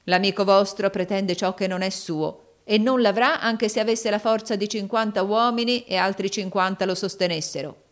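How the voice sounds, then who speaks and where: Woman playing a defiant, impassioned young gentleman. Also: medium pitch, fairly even intonation, moderate pace, voice pushed up, lightly acted. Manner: emphatic, declamatory, commanding.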